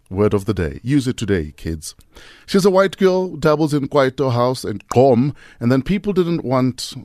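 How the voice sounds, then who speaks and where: A playful man hosting morning radio, his low voice swinging widely in pitch as he teases his guest. The sound is close and dry, straight into a studio mic. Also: no echo. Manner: playful, teasing, lively delivery. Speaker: radio host.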